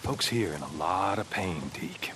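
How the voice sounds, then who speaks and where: Sharp, low-voiced, menacing camp boss. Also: male voice, expressive, unhurried, slight background noise, exaggerated voice. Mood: calculating, commanding. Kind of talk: naturalistic cinematic game acting.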